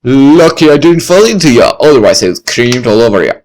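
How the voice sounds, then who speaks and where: A man's voice: mid-range voice, very animated, fairly quick, darkish tone, close to an everyday voice. A man voicing a cocky, juvenile teenage boy who jokes in slang, from a story reading. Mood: teasing. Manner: slangy, goofy. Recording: faint music behind the voice.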